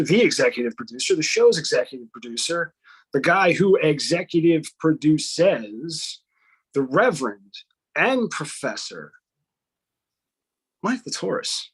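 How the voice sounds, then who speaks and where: Guy hosting a podcast, kinda high voice, rambles and swears a lot, jokey. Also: very animated, moderate pace, fairly bright tone, slightly rough, poor audio. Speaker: podcast host. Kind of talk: casual podcast chat.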